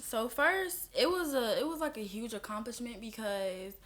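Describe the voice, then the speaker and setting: Bright-voiced young Southern woman guest. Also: fairly high pitch, normal speed. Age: 20s. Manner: laid-back, laughs often, casual slang. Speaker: guest entrepreneur. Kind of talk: casual podcast interview. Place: studio.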